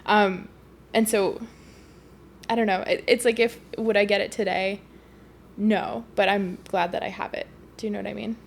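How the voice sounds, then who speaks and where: A woman's voice: mid-range voice, fairly even intonation, moderate pace, vocal fry, slight background noise. A young woman from Canada chatting casually as a podcast guest, rambling with lots of fillers and laughs. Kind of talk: casual conversation.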